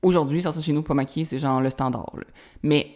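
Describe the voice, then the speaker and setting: A woman's voice: deep voice, fast, a little mellow. A woman in her thirties with a Quebec French accent, hosting a solo podcast in a casual, chatty way full of fillers and English slang. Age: 30s. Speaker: podcast host.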